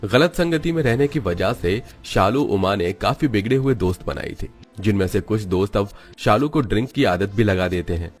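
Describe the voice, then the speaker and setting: A man's voice: fairly low pitch, animated, normal speed, hardly any pauses, soft background music. A man narrating a scripted moral story as a storyteller.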